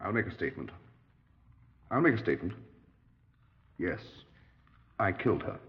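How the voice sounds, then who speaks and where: Casting: a cold, resigned major, very low voice, halting delivery, muffled vintage radio. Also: male voice, big pitch swings. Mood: resigned, bitter. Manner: halting, matter-of-fact. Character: callous, resigned retired major confessing to killing his wife. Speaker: actor playing a murder suspect. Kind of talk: 1950s radio drama acting. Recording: dull vintage 1950s radio recording.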